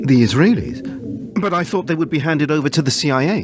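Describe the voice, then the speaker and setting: A man's voice: fairly high pitch, animated, a little fast, background noise, close to an everyday voice. An actor playing an anxious, defeated Syrian ambassador in a radio play, pleading for his country. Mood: alarmed.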